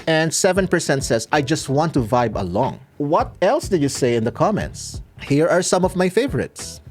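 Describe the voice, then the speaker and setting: Lively-voiced Filipino man answering comments. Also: on the high side. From Philippines. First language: Tagalog. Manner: dry, measured, pauses edited out. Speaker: YouTube creator answering comments. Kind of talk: casual commentary to camera.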